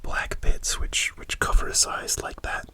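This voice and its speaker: A man softly whispering a rambling ASMR story, with many fillers and restarts. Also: a little slow. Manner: soft, relaxed whisper throughout. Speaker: ASMR creator.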